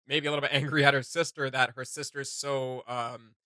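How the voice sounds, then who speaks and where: Bright, close-sounding man chatting casually. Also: mid-range voice, flat delivery, fairly quick, no echo. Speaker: reaction video host. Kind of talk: casual reaction chat.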